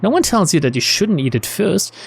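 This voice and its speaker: Guy doing a let's play, reads story text out loud, casual, faint game music behind. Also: high-pitched, sing-song, a little fast, gravelly. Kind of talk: reading game text aloud with casual commentary.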